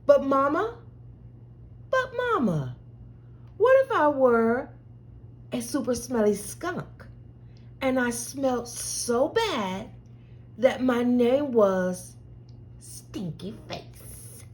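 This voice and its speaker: A woman's voice: sits high, big pitch swings, drawn-out words, long pauses, exaggerated voice, low-quality recording. A woman voicing an anxious, imaginative small child in a picture book, pleading with Mama in a dramatic, wide-eyed way.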